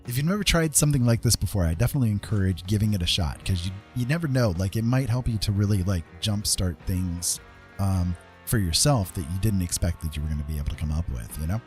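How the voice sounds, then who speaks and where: Low-voiced man rambling through an art tutorial. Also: animated, quick pace, a little mellow, faint music behind. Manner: rambling, thinking aloud. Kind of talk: casual live digital painting tutorial.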